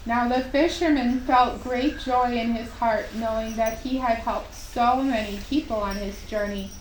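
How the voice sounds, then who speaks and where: A woman telling a scripted children's story in a gentle, deliberate way, as at a puppet show. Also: on the high side, fairly even intonation. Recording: roomy, distant microphone, faint background noise.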